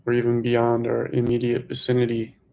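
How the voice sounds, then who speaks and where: A man leading a guided meditation as a teacher, speaking slowly and softly in short phrases with long pauses. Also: mid-range voice, monotone, vocal fry. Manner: slow, hushed, long pauses between phrases.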